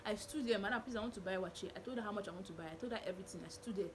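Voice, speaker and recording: A young woman with a Nigerian accent, telling stories to camera in a small bedroom with animated gestures. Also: mid-range voice, rapid-fire, heavy background noise. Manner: animated, expressive, indignant storytelling with hand gestures. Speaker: vlogger. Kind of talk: storytelling to camera. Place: small bedroom with curtain backdrop.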